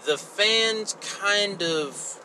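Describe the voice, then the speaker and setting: A man co-hosting a casual podcast, talking in a halting, exasperated, sweary way. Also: high-pitched, sharp tone, slight background noise. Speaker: podcast host. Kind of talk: casual conversational podcast chat.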